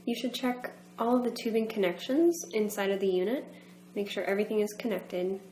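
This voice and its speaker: Female instructor for a how-to training film, methodical step-by-step delivery, medium pitch, roomy echo with faint hum. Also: brisk, dark tone. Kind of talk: instructional demonstration narration.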